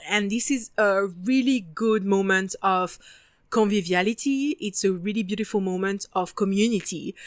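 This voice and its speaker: A woman's voice: mid-range voice, no echo, clean studio sound. A talkative French woman in her twenties, hosting her own podcast in English with a French accent and native French, swearing casually as she chats. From France (Paris). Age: 20s. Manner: chatty and talkative, casual swearing, frequent laughter. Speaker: podcast host. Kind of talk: casual solo podcast monologue.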